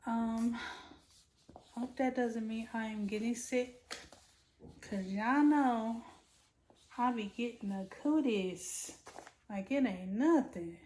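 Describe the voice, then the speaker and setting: Slow-talking woman, a bit hoarse. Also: a little high, slight background noise. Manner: relaxed, rambling, many ums, informal slang. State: losing her voice, a bit hoarse. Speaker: crochet vlogger. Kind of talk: casual chat while crafting.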